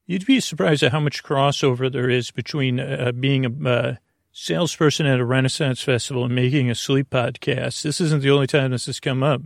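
A man with a creaky, gravelly voice at a middling pitch hosts a sleep podcast. He rambles haltingly, stopping and starting as he wanders off on tangents. The sound is dry and close, with no room around it. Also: steady tone, no room sound. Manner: hesitant, halting, trailing off into tangents. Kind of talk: rambling, meandering bedtime monologue.

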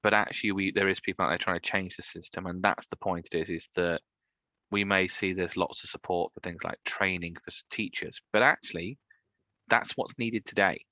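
A man's voice: low voice, steady tone, a little fast, bright, forceful tone, no echo, dull audio. A man in his thirties, a guest in an interview, talking casually in rambling sentences with many restarts. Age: 30s. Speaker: guest, entrepreneur.

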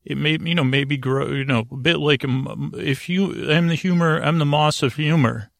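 Creaky-voiced man, rambling and halting. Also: dry recording. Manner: meandering, halting, frequently digressing and losing his thread; low-key, soothing, deliberately unengaging. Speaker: podcast host. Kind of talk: rambling sleep-podcast monologue.

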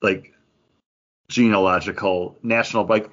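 A man co-hosting a podcast in casual chat, halting and full of fillers, often acting out imagined lines as he talks. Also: on the low side, steady tone, fairly bright tone, highs cut off. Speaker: podcast co-host reviewing comic books.

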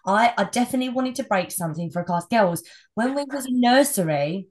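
A young woman co-hosting a podcast with a croaky, husky voice, chatting casually and laughing often. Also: on the high side, animated, a little fast. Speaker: podcast co-host.

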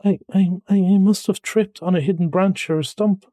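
Storybook mole, played by a male reader: high, soft-edged voice, grumbling and self-pitying, pettish and stubbornly literal. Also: expressive, moderate pace, dry recording, clean studio sound, lightly acted. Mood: miserable. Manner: plaintive, huffy complaining.

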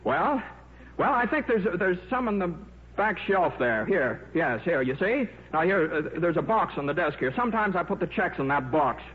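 An actor playing a shy, absent-minded but stubborn scientist and gentle father in a radio drama, hesitant and repeating himself. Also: high-pitched, sing-song, moderate pace. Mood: rambling, agitated. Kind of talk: 1950s American radio drama acting. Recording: muffled, noisy old radio broadcast.

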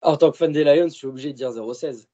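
A man's voice: a little high, fast talker, dark tone. A man, a podcast guest chatting casually, rambling with fillers and restarts. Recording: dull lo-fi sound.

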